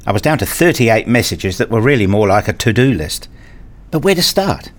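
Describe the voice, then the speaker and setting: A man's voice: lowish voice, natural voice. A man acting a frustrated, restless academic who narrates his dreary days in a radio play, wry and grumbling. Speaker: narrator and lead character. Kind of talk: first-person radio play narration and dialogue.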